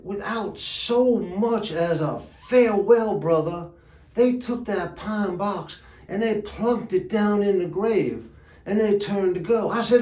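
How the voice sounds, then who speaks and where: An actor playing a wry, plainspoken rural gravedigger, telling a yarn in a drawling folksy dialect with dramatic pauses. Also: male voice, high-pitched, darkish tone. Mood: indignant. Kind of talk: theatrical storytelling monologue. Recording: echoey room.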